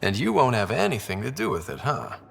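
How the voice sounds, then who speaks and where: An actor playing a young explorer and team leader in a video game, husky, easygoing and curious. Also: male voice, mid-range voice, wildly swooping pitch, fairly quick, long reverb tail, heavily acted. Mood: casual, curious. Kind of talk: video game character acting.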